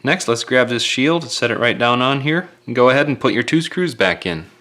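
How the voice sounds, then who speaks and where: A man's voice: medium pitch, animated, a little fast, fairly bright tone. A man giving casual, step-by-step hands-on repair instructions in a plain-spoken, careful, folksy way. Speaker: repair tutorial presenter.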